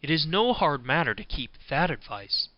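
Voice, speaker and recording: A man's voice: fairly high pitch, sing-song, moderate pace, no echo, lo-fi sound. A man voicing a naive, good-natured young man in a storybook, simple and plain-spoken. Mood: wondering.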